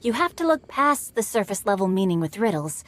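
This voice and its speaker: A woman voicing a polite, bookish young boy scholar in a video game, articulate and gently teasing. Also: mid-range voice, very animated, brisk. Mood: patient. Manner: articulate, refined.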